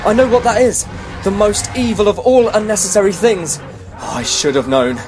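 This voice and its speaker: A man's voice: high voice, moderate pace, natural voice. A man playing a cheeky, irreverent quester in a comic radio play, blunt and colloquial. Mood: incredulous. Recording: music clearly behind the voice.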